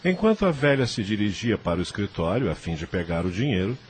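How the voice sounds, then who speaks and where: A man's voice: fairly low pitch, sing-song, fast talker, breathy edge. A man narrating a scripted audio novel as its storyteller.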